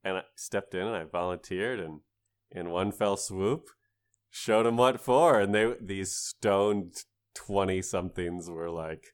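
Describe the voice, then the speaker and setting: A man's voice: sits low, a little slow, clean tone. A man co-hosting a gaming podcast, telling casual stories with playful mock-epic flourishes and free swearing. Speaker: podcast co-host.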